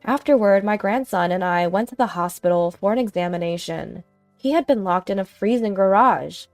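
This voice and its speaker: A woman's voice: medium pitch, moderate pace, no echo, soft background music. A woman reading a scripted first-person story as narrator.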